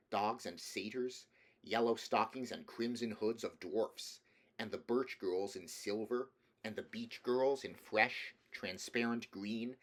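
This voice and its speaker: Low-pitched man narrating a storybook. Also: fairly bright tone. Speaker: audiobook reader.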